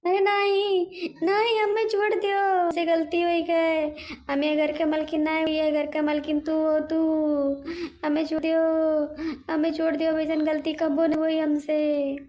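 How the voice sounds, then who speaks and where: A frightened old village woman from a comedy cartoon, put on by a woman. Her voice is high and wailing, with an Awadhi accent. She pleads over and over, anxious and submissive. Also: flat delivery, a little slow, darkish tone. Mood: pleading, scared.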